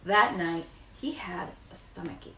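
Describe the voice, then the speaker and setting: A woman's voice: on the low side, unhurried. American woman in her 30s reading a kids book, echoey room, bit far from the mic. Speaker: teacher. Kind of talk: children's picture book read-aloud. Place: living room, indoors. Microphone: camera a few feet away. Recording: distant echoey room, faint noise.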